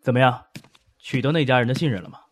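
A meddling older male boss who talks loudly and jovially, as a man acts him out. His voice sits in a middle range in this audio drama. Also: medium pitch, quick pace, lightly acted.

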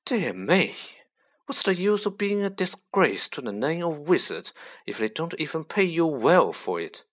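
Figure: a man playing a cold aristocratic wizard. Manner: sneering, drawling, slow. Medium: audiobook. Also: on the high side, very animated, a bit bright, voice pushed up, full character voice.

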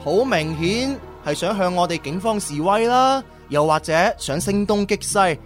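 A man's voice: high-pitched, animated, moderate pace. An actor playing a jovial middle-aged policeman in a radio drama, exclamatory, with a Hong Kong Cantonese accent. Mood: explaining. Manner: exclamatory, hearty. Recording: music bed under the dialogue.

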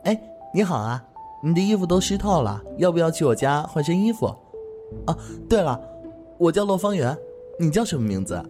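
A man's voice: fairly high pitch, big pitch swings, a little fast, soft background music. An actor playing a cheerful, good-hearted young man who chats eagerly and laughs easily in an audio drama. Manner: bright, chatty, laughs easily.

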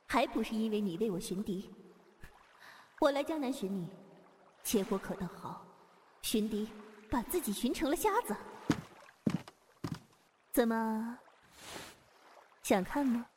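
A woman's voice: on the high side, expressive, a little slow, pauses often, a little mellow, a little hiss or hum. A woman playing a commanding, self-assured female martial sect leader in a Chinese wuxia audio drama. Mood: teasing, reproachful. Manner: imperious, self-assured, clipped orders.

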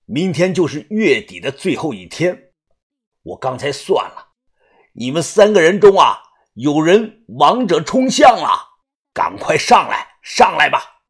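A man voicing a grave, cautious old fortune-telling master in an audio story, with drawn-out, sighing speech. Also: medium pitch, big pitch swings, fairly bright tone, husky, voice pushed down. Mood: urgent warning.

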